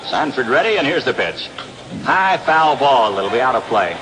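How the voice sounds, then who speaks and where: American radio baseball announcer, man, lively pitch. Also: normal speed. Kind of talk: 1960s radio play-by-play commentary. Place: outdoor ballpark broadcast position. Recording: noisy 1960s outdoor radio broadcast. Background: loud stadium ambience.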